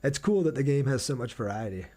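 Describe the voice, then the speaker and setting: A man's voice: mid-range voice, animated, quick pace, a little mellow. Casual male game streamer, chatting along. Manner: chatty, thinks aloud, reads messages out. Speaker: game streamer reading viewer chat. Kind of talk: casual live gameplay commentary.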